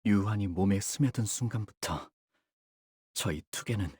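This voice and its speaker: Young rooster warrior from a game, super husky n breathy, lowish voice, polite but out for blood. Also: male voice, moderate pace, warm tone, no echo. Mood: calm menace. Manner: polite, formal speech breaking into fierce intensity. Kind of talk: Korean game character voice lines, theatrical.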